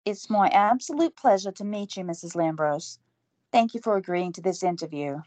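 A woman's voice: on the low side, animated, normal speed, a little mellow, no echo, lightly acted. Woman playing a flustered young interviewer. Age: young adult. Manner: babbling, apologetic.

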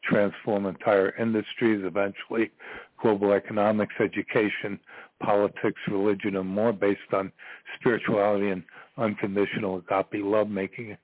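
An older man in his seventies calling in to a radio show, spelling things out slowly in an earnest, grandiose way. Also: on the low side, little pitch movement, no echo. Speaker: caller. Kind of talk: call-in radio talk. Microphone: telephone. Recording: tinny phone line.

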